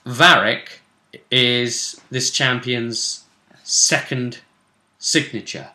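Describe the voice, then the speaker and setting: A man hosting a casual chat about a tabletop role-play game, telling it with emphatic, drawn-out phrasing. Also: medium pitch, bright, forceful tone. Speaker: host. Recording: roomy, echoey room sound.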